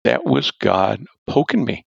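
An older man, a former football coach, telling a story loudly and animatedly as an interview guest and chuckling as he goes. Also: medium pitch, big pitch swings, a little slow, gravelly, husky, no room sound. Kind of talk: storytelling in a podcast-style interview. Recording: dull, dry lo-fi audio.

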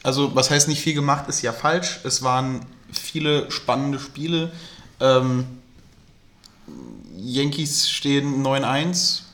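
A man's voice: mid-range voice, fairly even intonation, takes it slowly, fairly bright tone. Chatty joking podcast guy, medium voice, roomy echo. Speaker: podcast co-host. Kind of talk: casual chat.

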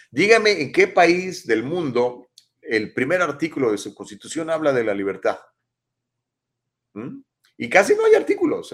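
A man, hosting a live show and commenting on viewer messages with emphatic rhetorical questions. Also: mid-range voice. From Mexico. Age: 50s. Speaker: talk show host.

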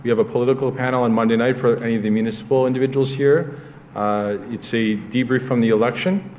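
A man's voice: medium pitch, steady tone, quick pace, darkish tone, slight background noise. A man hosting a speaker event, making plain closing announcements with frequent hesitations. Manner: plain, with frequent uh fillers and restarts. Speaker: host of a speaker luncheon.

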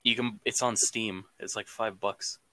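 A man's voice: lowish voice, fairly even intonation, unhurried, bright, forceful tone, slight background noise, low-quality recording. A man playing a sardonic, exasperated male demon in an improvised audio roleplay, sarcastic and mock-ominous. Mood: sardonic, amused.

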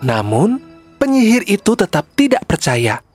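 A woman's voice: medium pitch, very animated, a little slow, darkish tone, slightly rough, soft background music. A woman narrator reading a scripted children's story.

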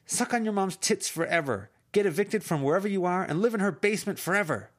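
A man's voice: high-pitched, fast, fairly bright tone, pitched above the performer's own voice. A man reading an amateur audiobook, voicing a furious teenage girl who hurls bitter, cutting insults. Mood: screaming rage.

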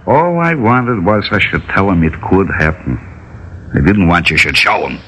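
An actor playing an unflappable older shopkeeper, reasoning in a folksy, wry way in an old radio drama. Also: male voice, sits low, sing-song, moderate pace, fairly bright tone. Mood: pleading, wry. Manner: folksy, wry, Yiddish-inflected phrasing. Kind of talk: 1960s radio drama acting. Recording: slightly noisy old radio recording.

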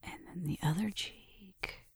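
A woman performing a gentle, intimate ASMR roleplay, softly whispering and murmuring close to the mic as she narrates each step. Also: on the low side, takes it slowly, no room sound. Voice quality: soft, breathy, often whispering.

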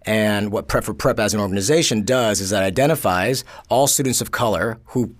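A man, a podcast guest born in Korea and raised in the Bronx, telling his family story in a relaxed, measured way with wry asides. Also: lowish voice. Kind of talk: casual podcast conversation.

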